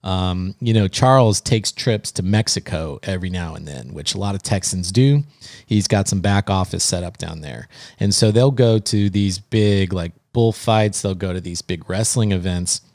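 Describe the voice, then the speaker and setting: Male podcast co-host, casual chat, lowish register, dry studio-like sound. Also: normal speed, darkish tone, no room sound.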